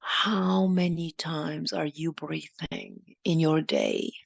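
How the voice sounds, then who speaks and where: A woman with a lowish voice guides a meditation very slowly and solemnly. Her phrasing is deliberate and incantatory, with gravelly creak and breathy, whispery softness, like a channeled healer addressing listeners. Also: very animated, no echo, muffled recording. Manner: halting, deliberate phrasing with repeated lines.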